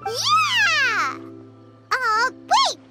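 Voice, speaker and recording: A tiny, naive fairy sidekick from a video game, chirps in a squeaky, childlike voice. The pitch is extremely high and leaps around dramatically, with prominent music playing behind. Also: female voice, wildly swooping pitch, drawn-out words, heavily acted. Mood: excited. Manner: exclamatory, refers to self in third person. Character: tiny, perky, childlike floating fairy companion, excitable and naive. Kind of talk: video game character dialogue.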